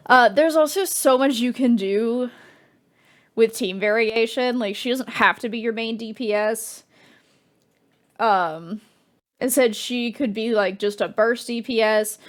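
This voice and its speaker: A woman streamer talks casually over her gameplay in a slightly high voice. She rambles and restarts her sentences as she explains her play. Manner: chatty, rambling, with fillers and restarts.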